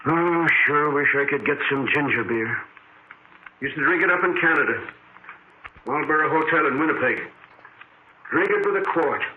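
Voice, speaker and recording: An actor playing a chatty, absent-minded bartender in a 1940s radio drama, rambling in offhand slang. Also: male voice, on the high side, normal speed, long pauses, lightly acted. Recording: tinny, hissy 1940s radio recording.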